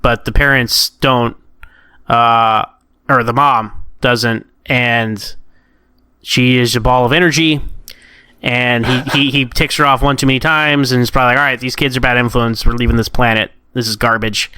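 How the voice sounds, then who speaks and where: Bright, clear-voiced American man chatting. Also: mid-range voice, fairly even intonation, normal speed, no echo. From United States. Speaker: podcast co-host. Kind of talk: casual discussion and commentary.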